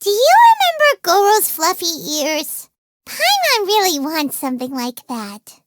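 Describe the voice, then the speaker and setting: A woman voicing a small, childlike fairy companion in a video game, excitable, cheeky and easily offended, with a squeaky, melodramatic delivery. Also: unhurried, no room sound, clean studio sound, exaggerated voice. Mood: wistful, pleading. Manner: speaks of self in third person, exclamatory, melodramatic. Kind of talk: animated video game voice acting.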